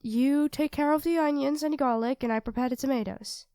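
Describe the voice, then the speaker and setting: A woman's voice: high voice, fairly quick, background noise. A woman playing a sweet, self-doubting young girlfriend in an intimate ASMR roleplay, speaking hesitantly and earnestly to her partner. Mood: caring, helpful. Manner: hesitant, soft-spoken, earnest, addressing a partner directly.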